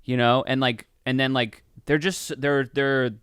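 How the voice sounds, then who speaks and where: A man co-hosting a podcast in casual chat, opinionated and halting, often repeating himself as he goes. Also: mid-range voice, level tone, fairly bright tone, clear, resonant tone, no echo, clean studio sound. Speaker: podcast co-host. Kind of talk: casual movie review chat.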